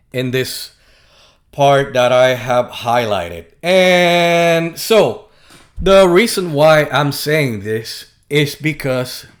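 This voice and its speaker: Casting: Puerto Rican man, mid-pitched, slow and halting delivery, dry sarcasm. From Puerto Rico. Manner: slow and halting, many fillers and restarts, sarcastic asides. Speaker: video host. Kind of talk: casual monologue to camera.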